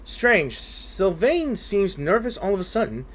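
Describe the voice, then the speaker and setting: Animated man giving casual gameplay commentary. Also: high-pitched, unhurried. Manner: skeptical, rambling. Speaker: gaming video creator.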